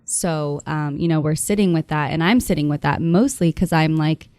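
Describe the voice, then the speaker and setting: Woman podcast host, about thirty, with a low and mellow voice. Thoughtful and rambling, full of fillers. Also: normal speed, no room sound, studio quality. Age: 30s. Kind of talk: casual conversation.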